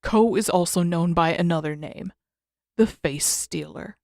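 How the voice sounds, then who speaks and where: A woman voicing a stern old male spirit mentor, grave, measured and formal, in a story reading. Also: on the low side, creaky, darkish tone, no room sound, clean studio sound. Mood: grave warning. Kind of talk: fan fiction audiobook character voice.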